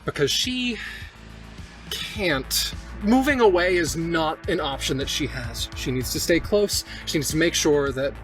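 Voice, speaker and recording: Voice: mid-range voice, expressive, a little slow, fairly bright tone. A player in a tabletop role-playing game, narrating a character's actions and checking the rules. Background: music.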